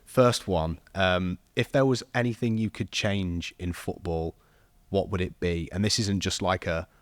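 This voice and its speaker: Male podcast host, very low steady voice, measured questions, dry studio. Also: no room sound. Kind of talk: podcast interview.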